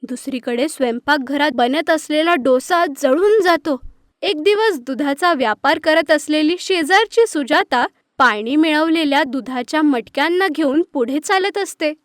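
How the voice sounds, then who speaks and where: A woman's voice: high voice, animated, a little slow, no room sound, clean studio sound. A woman narrating a scripted children's story.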